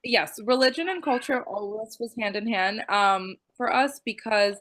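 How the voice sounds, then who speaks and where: A woman's voice: fairly high pitch, moderate pace, fairly bright tone. A woman who grew up in the United States, talking as a guest on a panel in a loose way with many ums and likes. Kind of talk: casual panel talk.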